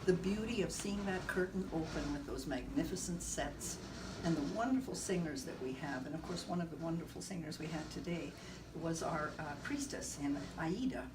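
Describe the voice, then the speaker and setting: A woman's voice: deep voice, moderate pace. Chatty soprano woman, distant mic. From partly Caribbean. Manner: lively, laughing, chatty. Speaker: opera director and soprano. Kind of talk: casual interview chat. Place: indoor concert venue. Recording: distant mic, noisy room.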